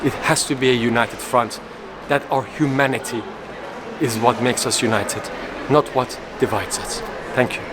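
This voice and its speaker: A man's voice: mid-range voice, steady tone, moderate pace. Earnest man from Iran, noisy backdrop. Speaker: co-founder of an advocacy group. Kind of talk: conference interview statement. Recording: loud background noise.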